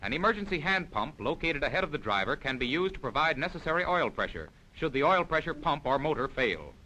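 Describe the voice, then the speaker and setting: Fast, bright-voiced man narrating a training film. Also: fairly high pitch, big pitch swings, a little hiss or hum, poor audio. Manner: formal, precise, explanatory. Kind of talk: scripted narration, 1940s military training film.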